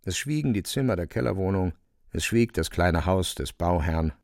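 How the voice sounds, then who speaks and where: A man reading scripted literary narration for a radio drama in a measured, matter-of-fact way. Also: sits low, expressive, creaky, no echo, studio quality.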